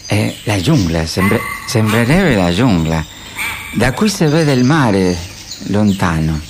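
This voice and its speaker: A man playing a solemn, eager roving reporter giving a halting, grave live report in radio theatre. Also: on the low side, sing-song, noisy background, voice pushed down.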